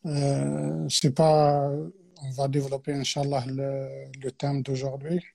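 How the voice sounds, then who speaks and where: Man, soft and husky. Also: mid-range voice, completely flat delivery, slow. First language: Arabic. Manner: hesitant, many fillers and restarts. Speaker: guest teacher. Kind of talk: explanatory religious talk on a live stream.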